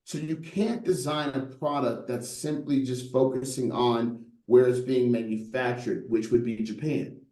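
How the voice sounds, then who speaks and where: A man's voice: mid-range voice, steady tone, measured pace, few pauses, mellow, reverberant, poor audio. A middle-aged man in a casual interview, telling stories with lots of rhetorical "right?" asides and a playful tone. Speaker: guest, brand designer.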